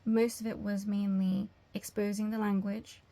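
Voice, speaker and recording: A young woman answering job interview questions as a language teacher, explaining things in a measured way. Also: mid-range voice, little pitch movement, dark tone. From England.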